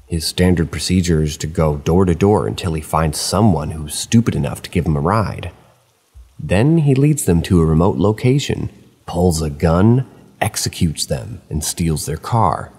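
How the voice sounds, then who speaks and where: Man, very low voice, husky, steady story reading, dry asides, long reverb tail. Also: moderate pace. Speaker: narrator of online horror stories. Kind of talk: reading stories aloud.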